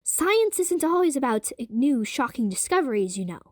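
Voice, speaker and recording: A woman acts out an old-fashioned man of science in an audio drama. He speaks formally and wordily, a little guarded, in a high, expressive voice recorded dry. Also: normal speed, no echo. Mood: reassuring. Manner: formal, wordy, hesitant.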